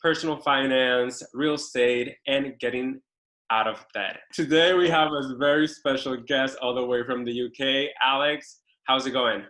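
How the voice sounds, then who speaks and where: A man's voice: mid-range voice, steady tone, moderate pace, sharp tone, low-quality recording. A man hosting an interview over a video call, introducing his guest and asking short prompting questions. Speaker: host.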